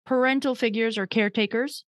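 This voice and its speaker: A woman's voice: a little high, steady tone, a little fast, no room sound. A woman hosting a podcast interview, chatty and self-deprecating, thinking aloud in long winding questions. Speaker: podcast host. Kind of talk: conversational interview.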